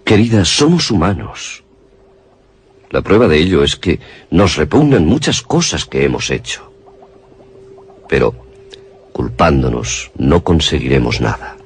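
A ruthless, tormented scientist in a Castilian Spanish suspense radio play. The voice is low and theatrical, swinging with authority through long reverb. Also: male voice, sing-song, a little slow, pauses often, heavily acted. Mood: cold, commanding. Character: ruthless, tormented scientist father justifying monstrous experiments. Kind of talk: suspense radio drama acting. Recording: long reverberation, music underneath.

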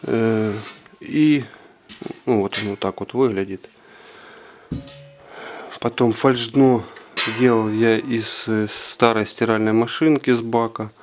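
A man giving an unscripted walkthrough of his home brewing equipment, talking haltingly with many filler sounds, recorded indoors on a handheld camera. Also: on the low side, fairly even intonation, a little mellow, slight background noise. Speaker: home brewer. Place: indoor room with carpet.

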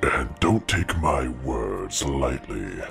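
A man voicing a huge blue dragon in a fantasy audio drama, grave and brooding, with a slow rumbling delivery. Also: animated, gravelly, fairly bright tone, faint music behind, exaggerated voice. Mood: gruff reassurance.

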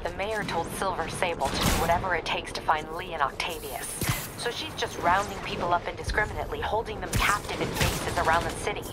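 Actress as a low-voiced, no-nonsense police captain. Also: female voice, fairly quick, lightly acted. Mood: businesslike. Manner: terse, dry, businesslike. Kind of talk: video game voice acting. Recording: loud music over the voice. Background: loud game music.

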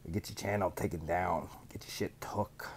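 A man in his thirties putting on a smug rival streamer who cheerfully admits to being a villain, speaking deadpan into a studio mic in a small home studio. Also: low-pitched, normal speed, whispered, lightly acted. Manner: deadpan mock-confession, sarcastic. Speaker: podcast guest doing a mocking impression. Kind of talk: sarcastic in-character rant. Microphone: studio mic on boom arm, headphones.

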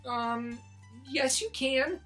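A man's voice: very high voice, very slow, bright, forceful tone, faint music behind, heavily acted. A man voicing small, anxious but plucky kindergarten children in a storybook. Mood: questioning.

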